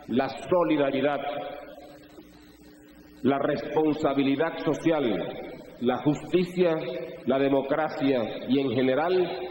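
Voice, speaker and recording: A man formally reading an official statement in a measured, listing cadence. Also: mid-range voice, darkish tone, a little hiss or hum. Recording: echoey hall with long reverb.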